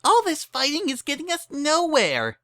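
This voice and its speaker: A narrator plays an excitable young female cartoon pony, bubbly and insistent. The voice jumps up high and bright and swings around with eager cheer. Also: moderate pace, dry recording, studio quality, full character voice.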